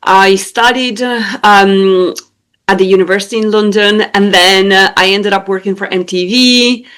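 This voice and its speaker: A woman's voice: medium pitch, steady tone, normal speed, fairly bright tone, smooth. A young woman with an Italian accent, a singer-songwriter chatting casually in an interview, with lots of fillers and hesitations. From Italy. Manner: laughs easily, many fillers and hesitations.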